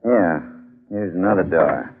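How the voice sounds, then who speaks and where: A man's voice: deep voice, lively intonation, a little slow, slightly rough, full character voice. A man acting a genial, cautious professor in a 1940s radio drama, courteous in his phrasing. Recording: tinny, band-limited old radio broadcast.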